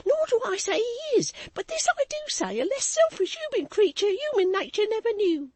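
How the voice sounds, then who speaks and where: A shrill, sobbing, gushing maid. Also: female voice, huge pitch swings, normal speed, darkish tone, dry recording, exaggerated voice. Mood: hysterical. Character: excitable young maid, tearful and voluble.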